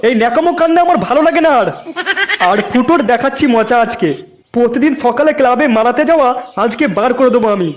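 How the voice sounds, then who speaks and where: A man voicing bossy, crude, excitable village men in a comedy cartoon, with an exaggerated, teasing delivery. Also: very high-pitched, quick pace, slight background noise. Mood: irritated, bossy.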